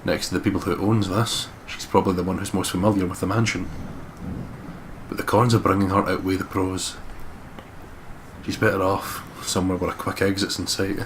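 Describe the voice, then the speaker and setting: A man reading the part of a guarded, determined investigator narrating a horror visual novel in a measured, serious way. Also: low voice, flat delivery, a bit bright. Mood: brooding, serious. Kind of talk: dramatic scripted narration, visual novel read-aloud. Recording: clearly noisy home recording.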